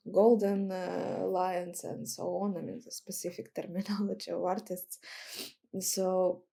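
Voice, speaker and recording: A woman from Ukraine with a Ukrainian accent, giving reflective, halting interview answers in non-native English as a guest artist. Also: mid-range voice, dark tone.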